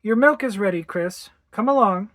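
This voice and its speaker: Female reader as anxious mother in a story, emphatic, strained, voice lifted high. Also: normal speed, no echo, clean studio sound, lightly acted. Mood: coaxing, firm. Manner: tense, emphatic. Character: anxious, fretful young mother at her nerves' end.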